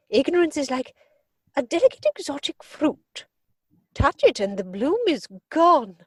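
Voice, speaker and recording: A woman's voice: on the high side, dry recording. A woman playing an imperious, snobbish older upper-class lady in a theatrical comedy reading, pompous and disapproving. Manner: pompous, disapproving, crisply emphatic. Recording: dry home video-call recording.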